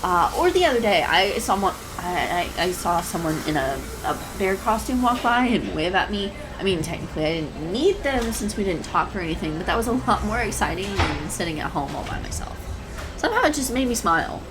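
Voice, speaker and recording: A woman's voice: medium pitch, very animated, fairly quick, over music, natural voice, rough recording. A woman playing a shy, anxious, adorkable young woman in an intimate roleplay audio, rambling hesitantly and stammering. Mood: nervous, chatty. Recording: clear background coffee-shop ambience noise. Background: coffee shop ambience sound effects.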